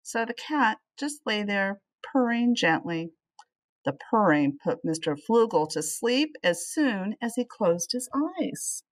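Expressive middle-aged woman reading to children. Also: mid-range voice, slow pace. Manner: animated, addressing young listeners. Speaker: teacher reading aloud to children. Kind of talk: expressive children's storybook read-aloud with asides.

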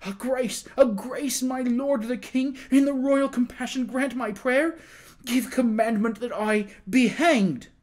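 A condemned prisoner from a historical storybook, as a male reader acts him out. The voice is pushed high and loud, imploring and terrified. He pleads in broken phrases, abject before his king. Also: animated, measured pace. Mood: terrified pleading. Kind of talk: dramatized audiobook reading.

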